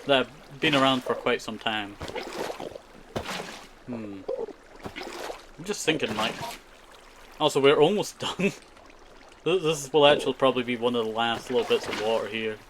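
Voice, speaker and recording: Man streaming casually over clear background noise. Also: medium pitch, moderate pace, pauses often. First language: Scottish Gaelic. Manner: chatty, rambling, repeats short phrases. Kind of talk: casual live gaming commentary with chat.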